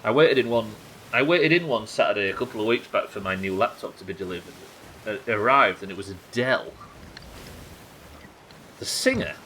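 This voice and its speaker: A man's voice: fairly low pitch, moderate pace, long pauses, bright, forceful tone, a little hiss or hum, low-quality recording. An English man doing casual live game commentary, sweary and jokey, bantering and reading out chat at his desk. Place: indoors at a desk.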